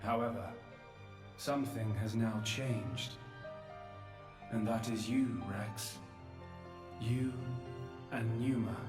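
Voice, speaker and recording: An actor playing a solemn, remorseful, godlike old creator in a scripted video game drama, speaking in measured, philosophical phrases. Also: male voice, lowish voice. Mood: resigned, remorseful. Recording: roomy long reverb, distant, faint music.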